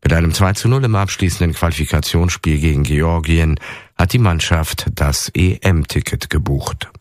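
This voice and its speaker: Deep-voiced male radio newsreader. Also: no room sound, studio quality. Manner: measured, formal delivery. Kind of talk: read news bulletin. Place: radio studio.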